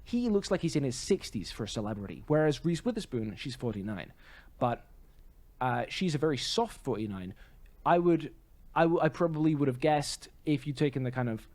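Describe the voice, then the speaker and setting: A man co-hosting a podcast, chatting casually about film in a rambling, halting way full of self-corrections. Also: medium pitch, darkish tone. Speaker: podcast co-host. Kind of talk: casual film review chat.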